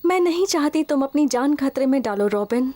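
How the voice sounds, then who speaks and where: A woman's voice: high-pitched, expressive, moderate pace, mellow. A woman playing an earnest, worried young heroine in a cartoon, pleading and caring. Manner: earnest, imploring. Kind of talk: dubbed cartoon dialogue.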